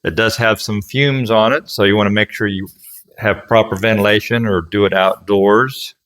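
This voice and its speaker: A man's voice: fairly low pitch, fairly even intonation, normal speed, fairly bright tone. A man giving casual, plain-spoken how-to narration as a craftsman, explaining things step by step in a folksy way. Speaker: furniture restorer and instructor.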